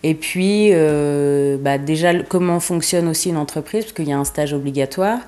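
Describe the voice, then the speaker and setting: A young woman with a notably low-pitched voice, answering interview questions in a casual, meandering way. She hesitates often, restarts her sentences and pads them with fillers. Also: moderate pace, mellow. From eastern France. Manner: hesitant, many fillers, laughs easily. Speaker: fashion designer guest.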